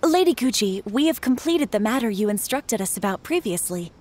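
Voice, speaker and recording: Lively-toned, dutiful young assistant. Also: female voice, fairly high pitch, brisk. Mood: polite, deferential. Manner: polite, formal. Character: polite, dutiful young female publishing house assistant. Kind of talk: acted video game dialogue.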